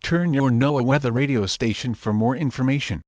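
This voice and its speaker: A man's voice: medium pitch, normal speed, a little mellow, no echo, lo-fi sound. A man reading a scripted weather warning as a radio announcer.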